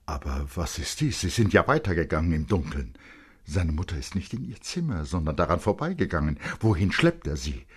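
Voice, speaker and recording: Deep, husky male storyteller. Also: a little fast. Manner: expressive, suspenseful storytelling. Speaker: narrator, reader. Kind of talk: dramatic literary narration for radio.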